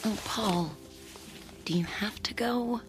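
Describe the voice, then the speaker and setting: A woman's voice: medium pitch, slow, music in the background, natural voice, poor audio. A woman acting a sardonic but affectionate policeman's wife in a radio drama, with dry, teasing delivery. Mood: teasing, reproachful.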